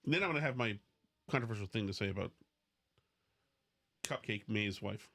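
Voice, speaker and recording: A man hosting a podcast in casual, opinionated banter, excitable and freely swearing. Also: fairly low pitch, brisk, creaky. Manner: profane, excitable. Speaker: podcast host.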